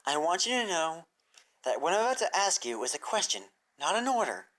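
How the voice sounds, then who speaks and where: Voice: mid-range voice, big pitch swings, fairly quick, fairly bright tone, pitched above the performer's own voice. A reader voicing an earnest, caring young adult female pony in a fan fiction story. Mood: gentle, earnest. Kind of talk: fan fiction character reading.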